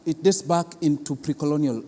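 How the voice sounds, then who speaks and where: A man with a Kenyan accent giving explanatory interview answers as an arts institution official, halting and deliberate, often repeating words for emphasis. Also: on the high side, mellow. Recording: long reverberant hall, clear background noise.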